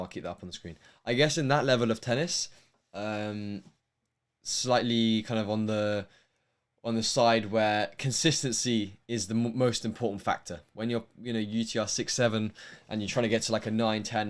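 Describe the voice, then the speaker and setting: A young man with a posh London accent hosts a tennis livestream, speaking in a fairly low voice. He talks casually and rambles, restarting sentences and filling gaps with ums. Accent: posh London English. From England. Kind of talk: casual livestream chat answering viewer questions.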